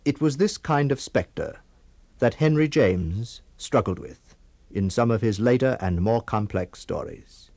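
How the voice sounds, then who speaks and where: A man hosting a drama anthology, reading a scripted ghost story introduction in a measured, portentous way. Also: mid-range voice, expressive, darkish tone, no echo. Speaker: host of an anthology drama series.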